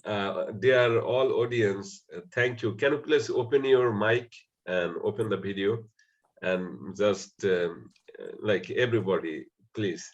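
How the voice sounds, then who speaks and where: A man's voice: lowish voice, unhurried. A man moderating an informal online discussion, politely forming questions with repeated words, heard over a video call. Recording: dull lo-fi online call audio.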